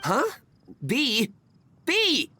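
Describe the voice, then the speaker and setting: A woman's voice: sits high, wildly swooping pitch, extremely slow, sharp tone, a little hiss or hum, heavily acted. A woman voicing an opinionated, pedantic fruit stall keeper with an emphatic manner in a video game. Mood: indignant. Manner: emphatic, declamatory. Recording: music playing behind the voice.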